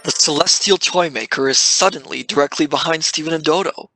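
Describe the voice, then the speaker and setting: Bright-voiced male drama narrator. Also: on the high side, a little fast, soft background music, rough recording. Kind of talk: scripted audio drama narration.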